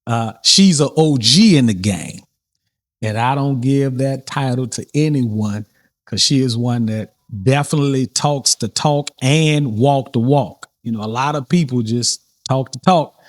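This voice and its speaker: A man's voice: mid-range voice, measured pace, no room sound. Laid-back New Orleans man hosting. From New Orleans, USA. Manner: relaxed, repetitive, with many fillers and restarts. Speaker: podcast host. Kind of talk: casual interview chat.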